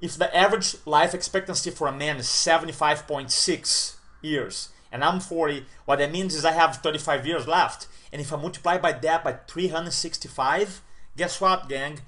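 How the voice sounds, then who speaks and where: A man in his forties with a slightly raised voice delivers a motivational talk to camera. He is fired up, stacks repeated superlatives and moves from big loud peaks down to hushed, serious lines. He sounds like a coach rallying his audience. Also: a little high, normal speed. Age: 40s. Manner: enthusiastic, repeats words for emphasis, swings loud and soft.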